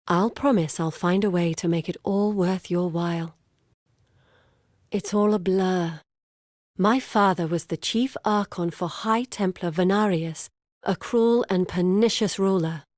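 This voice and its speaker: An actress voicing an earnest, guarded woman explorer in a video game, speaking in a measured, grave and deliberate way. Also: lowish voice, darkish tone, no room sound, clean studio sound, lightly acted. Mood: confessional, earnest. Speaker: video game character voice actor. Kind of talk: scripted game character dialogue.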